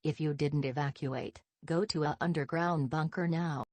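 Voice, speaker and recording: A synthetic woman's voice reading scripted emergency broadcast announcements in a stiff, impersonal, measured way. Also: low-pitched, steady tone, a little mellow, no room sound.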